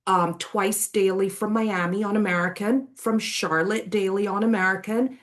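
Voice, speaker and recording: A woman's voice: medium pitch, steady tone, moderate pace. A woman from Barbados and England giving an effusive, informal sales presentation in colorful, colloquial wording. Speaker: resort sales and marketing director, guest presenter.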